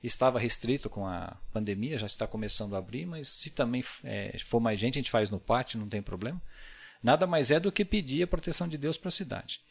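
A man's voice: medium pitch, brisk, gravelly. A Brazilian man speaking Portuguese with a Brazilian accent, a podcast guest talking earnestly and reflectively, often ending thoughts with a tag question. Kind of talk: casual podcast conversation.

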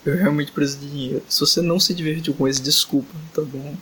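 A man's voice: on the high side, steady tone, fast talker, fairly bright tone, slight background noise, rough recording. A young man hosting a casual podcast, reading a fanfic aloud and joking, tired and exasperated late at night. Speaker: podcast host.

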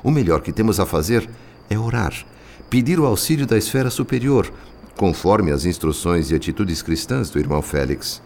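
An actor playing a solemn, devout narrator, telling the story theatrically in a radio drama. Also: male voice, low-pitched, lively intonation, normal speed, a little mellow, a little hiss or hum. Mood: grave, counseling. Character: solemn, devout male spirit narrator, measured and moralizing. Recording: long cavernous reverb.

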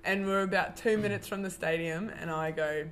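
A woman's voice: lowish voice, steady tone, brisk. A woman chatting as a podcast guest, teasing and chiming in to finish stories. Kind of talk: casual chat.

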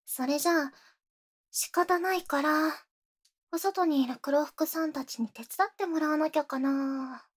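A woman's voice: sits high, measured pace. A woman playing a possessive, sweetly menacing young rich girl in a Japanese ASMR voice drama, with cutesy, coaxing phrasing and whispers. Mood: possessive, coolly threatening.

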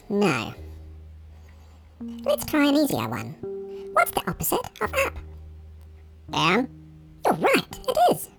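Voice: medium pitch, creaky, exaggerated voice. A performer voicing small, childlike, quirky cartoon creatures from a picture book, with exaggerated playful delivery. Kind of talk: picture book character voices.

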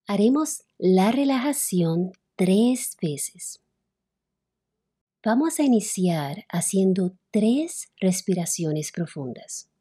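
A woman leading a guided relaxation as a meditation instructor, soothing and unhurried, with long pauses between phrases. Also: medium pitch, animated, mellow, slightly rough. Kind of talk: guided relaxation, yoga nidra narration.

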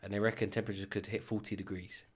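A man, a business owner chatting as a podcast guest and telling quick, animated stories with asides. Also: on the low side. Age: 30s. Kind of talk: casual podcast chat.

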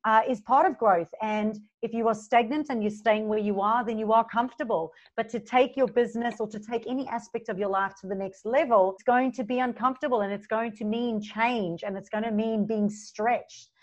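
A woman's voice: a little high, fairly even intonation, fairly quick, few pauses. A woman in her forties with a South African accent mixed with Australian, talking casually as an interview guest and laughing often between phrases. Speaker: guest, business coach. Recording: slightly dull lo-fi sound.

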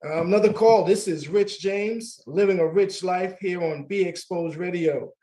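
Chatty male radio host, higher voice. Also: normal speed. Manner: warm, laughs easily, repeats words. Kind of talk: casual talk radio hosting.

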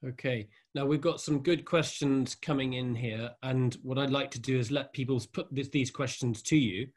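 A man hosting an online conversation event, asking gentle, measured questions and reading out questions from the audience. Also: medium pitch, steady tone. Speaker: host, moderator. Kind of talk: online panel interview.

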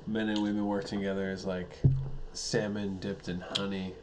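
A man's voice: deep voice, flat delivery, normal speed, noisy background. Crude joking man in his thirties. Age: 30s. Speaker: podcast co-host. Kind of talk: casual chat.

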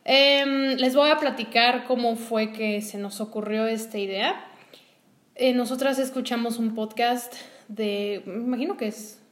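A woman's voice: fairly high pitch, steady tone, a little slow, reverberant. A Mexican woman in her twenties, co-hosting a podcast in casual, chatty conversation. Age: 20s. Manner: chatty, laughs easily. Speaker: podcast co-host. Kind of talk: casual chat.

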